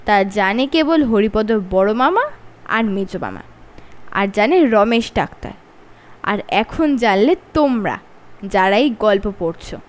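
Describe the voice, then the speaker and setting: A woman storyteller with a Bengali accent reads a funny children's tale aloud. Her voice sits high and moves all over the place. She changes her delivery for each character. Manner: expressive, shifts pitch for each character.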